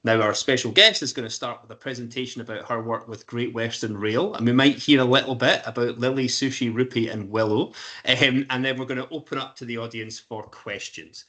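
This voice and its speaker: A man's voice: mid-range voice, fairly even intonation, a little fast, pushed and bright. A man hosting an online interview series for schools, giving an upbeat, enthusiastic introduction. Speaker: host. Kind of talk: scripted introduction for a school audience.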